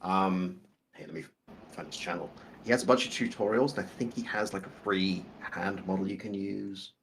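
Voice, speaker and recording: A man who grew up in Belgium co-hosts a casual stream. He has a fairly low voice and a blunt, outspoken way of talking, quick to correct what others say. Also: fairly even intonation, a bit bright, a little hiss or hum, rough recording. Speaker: stream co-host. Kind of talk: casual chat.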